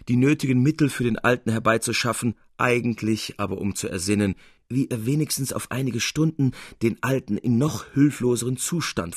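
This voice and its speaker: Gravelly, husky male storyteller. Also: medium pitch, no room sound, clean studio sound. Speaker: audiobook narrator. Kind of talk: literary scripted narration.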